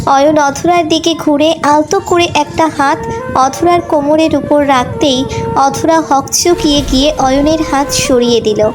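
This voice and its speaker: A woman's voice: high-pitched, steady tone, measured pace. A woman storyteller reading a scripted romantic story as narration. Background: background music.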